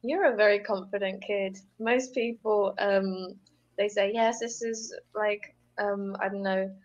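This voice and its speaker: Chatty British tutor on a video call. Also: female voice, mid-range voice, steady tone, unhurried. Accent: British with some American tinge. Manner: encouraging, chatty, laughs easily. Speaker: English tutor. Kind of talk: casual online tutoring chat. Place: indoors at home. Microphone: earphone mic on a video call. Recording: slightly dull video-call audio.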